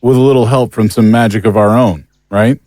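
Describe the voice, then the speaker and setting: A man's voice: lowish voice, fairly even intonation, fairly quick, a little mellow, dry recording, clean studio sound, natural voice. A man playing a wry, inquisitive sidekick to monster hunters in an audio drama. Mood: wry, curious.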